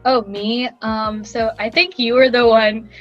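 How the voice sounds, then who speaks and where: A woman's voice: on the high side, fairly even intonation, a little slow. A young adult woman co-hosting a casual podcast, chatting with friends in a playful, teasing way. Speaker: podcast co-host. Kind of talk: casual chat among friends. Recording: slightly dull audio, background music.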